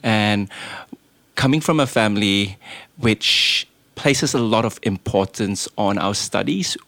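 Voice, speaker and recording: Humble low-voiced man from Malaysia. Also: takes it slowly, fairly bright tone, no echo. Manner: humble, emotional, self-deprecating. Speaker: guest, production manager. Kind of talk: casual podcast chat.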